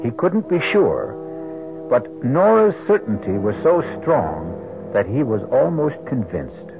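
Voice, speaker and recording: A man's voice: medium pitch, very animated, takes it slowly. An American man as a solemn, suspenseful narrator of an old-time radio mystery, delivering scripted dramatic narration. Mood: solemn, ominous. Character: grave, ominous narrator of a mystery tale. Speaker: narrator, host. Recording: muffled lo-fi vintage radio recording. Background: loud dramatic music.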